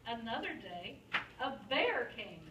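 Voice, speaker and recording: Female narrator for a puppet show: high, unhurried storytelling, picked up from afar in a roomy, noisy space. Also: very animated. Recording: echoey room, distant mic, clear noise.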